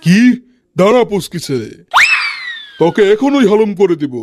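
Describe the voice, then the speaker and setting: A man's voice: sits high, sing-song, moderate pace, very noisy. A man voicing a boastful tiger king in a comic cartoon, pompous and threatening. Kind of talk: Bengali comic cartoon dialogue. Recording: loud music behind the voice.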